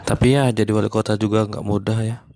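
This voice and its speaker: A man voicing a male monkey stand-up comedian in a cartoon who is running for mayor, telling jokes in a deadpan, even way. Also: on the low side, fast talker, heavy background noise, natural voice.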